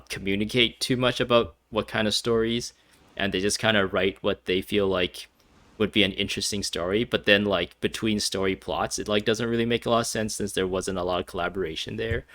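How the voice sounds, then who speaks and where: A man's voice: on the low side, fairly bright tone. A young man giving casual, wry gameplay commentary, laughing as he nitpicks. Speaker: game streamer.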